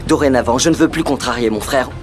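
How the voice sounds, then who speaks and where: A male actor as a troubled younger brother in a dubbed soap drama. He speaks at an ordinary male pitch, a jealous and conflicted figure, with clear music playing underneath. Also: quick pace, close to an everyday voice. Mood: firm. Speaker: dubbing actor. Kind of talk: French-dubbed TV soap acting.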